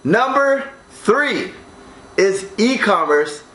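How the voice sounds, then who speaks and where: A man's voice: sits high, very animated, very slow, pushed and bright, roomy sound, poor audio. A young American man in a condo, talking casually to camera and explaining ways to earn money online, with frequent "right?" checks. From United States. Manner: upbeat, keeps asking "right?". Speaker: online business vlogger. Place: condo room.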